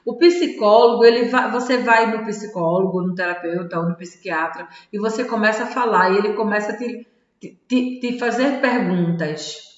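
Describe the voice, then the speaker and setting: A woman's voice: medium pitch, normal speed. A woman who coaches tarot and personal development, reading a self-help book aloud and commenting on it in a chatty way, often adding little "tá?" and "né?" tags. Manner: chatty, peppers sentences with "tá?" and "né?" tags. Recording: echoey room with long reverb, dull lo-fi sound.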